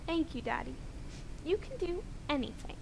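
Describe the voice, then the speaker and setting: A woman playing a sweet, sick little girl in an amateur radio drama, childlike and pleading, with loud noise in the recording. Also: high voice, sing-song, normal speed, gravelly, heavily acted. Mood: sleepy, grateful.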